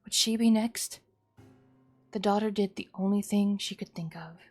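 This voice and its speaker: A woman's voice: mid-range voice, fairly even intonation, normal speed, a little mellow, soft background music. A woman streamer reading a horror visual novel aloud as a dramatic narrator.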